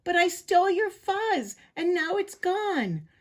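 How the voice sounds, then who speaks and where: A woman voicing a boastful, barking prairie dog watchdog in an animated children's storybook reading. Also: very high-pitched, slow, pitched above the performer's own voice, heavily acted. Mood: tearful, grateful. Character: Big Bark, loud bragging prairie dog watchdog.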